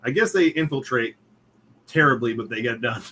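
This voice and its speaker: A man from Connecticut tells a story in relaxed interview chat, his voice bright and forceful. He acts out the other people's lines as he goes, and a faint noise sits under the recording. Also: mid-range voice, moderate pace. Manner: storytelling, chuckling, acting out quotes. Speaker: comic creator guest.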